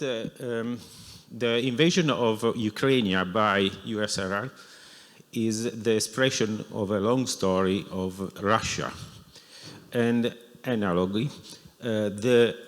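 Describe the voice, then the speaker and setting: Slow, halting man. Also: fairly low pitch. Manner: halting, searching for words. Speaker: audience member asking a question. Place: lecture hall. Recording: echoey lecture hall.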